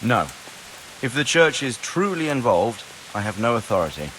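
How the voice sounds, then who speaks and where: An actor playing an authoritative, cautious older lord in a video game, commanding yet fatherly, with formal and deliberate phrasing. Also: mid-range voice, very animated, a bit bright, slight background noise, poor audio. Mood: troubled, grave. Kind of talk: dramatic video game dialogue.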